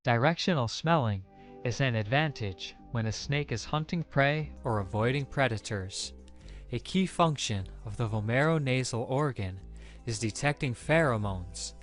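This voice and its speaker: A man reads scripted science narration in documentary style. His voice sits at a middle pitch, with faint music behind it. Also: animated, normal speed.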